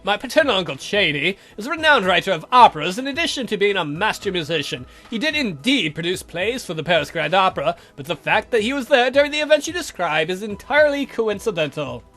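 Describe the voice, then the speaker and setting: A man's voice: high-pitched, big pitch swings, quick pace, hardly any pauses, sharp tone, soft background music, full character voice. A man voicing a grumpy, defensive old vampire count from a visual novel, indignant, dry and given to swearing.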